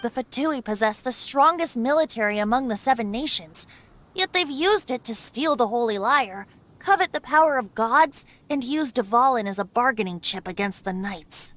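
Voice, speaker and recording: A woman's voice: a little high, lively intonation, normal speed, a bit bright, a little hiss or hum. A woman voicing a youthful boyish male bard in a video game, a playful and philosophical god with a breezy, sometimes wistful manner. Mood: troubled.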